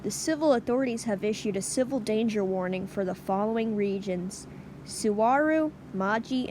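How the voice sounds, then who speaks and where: A grave emergency broadcast announcer, acted by a woman, delivers scripted warnings in a formal, deliberate manner. Her medium-pitched voice sits in a clearly noisy recording, as in a radio drama alert. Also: a little mellow, lightly acted. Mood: grim, subdued.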